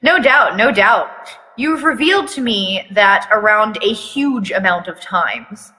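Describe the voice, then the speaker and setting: A woman's voice: medium pitch, lively intonation, unhurried, pushed and bright. A woman voicing a cocky, impatient teenage schoolboy, sarcastic and insolent, in a story reading. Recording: echoey reverberant room.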